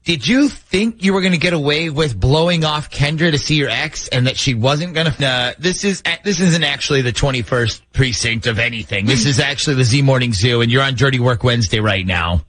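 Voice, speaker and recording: A man's voice: mid-range voice, fairly quick, hardly any pauses, a bit bright. A man hosting a morning radio show, talking through a prank call segment.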